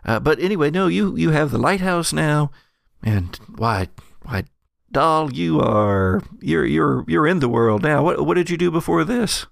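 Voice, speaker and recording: A man's voice: fairly high pitch, lively intonation, measured pace, vocal fry, dry recording, studio quality, pitched above the performer's own voice, heavily acted. A man voicing a flirtatious, gushing woman at a bar in a tabletop game, full of coy endearments and trailing hesitations.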